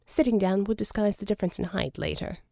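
A woman narrates an audiobook in a soft, mellow voice at a medium pitch. The sound is close and dry, and the delivery is scripted storytelling. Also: fast talker, vocal fry, no echo.

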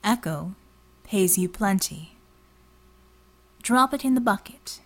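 A woman voicing a cryptic, demanding informant in an audiobook, delicate and breathy, speaking in a carefully neutral, measured way. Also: medium pitch, expressive. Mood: coolly neutral. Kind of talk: audiobook character dialogue.

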